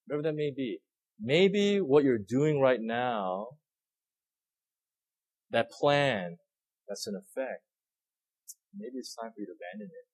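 Male pastor, husky, animated pitch, rambling conversational sermon full of fillers. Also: a little slow, pauses often, rough recording. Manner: rambling, many fillers and repeated words, asks the audience questions.

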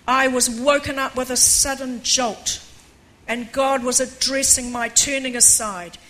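An older woman preaching a personal testimony in an earnest way, with a croaky voice, reading a passage aloud. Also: a little high, sharp tone, slight background noise, poor audio. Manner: earnest, reads aloud with rising emphasis. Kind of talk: testimony sermon.

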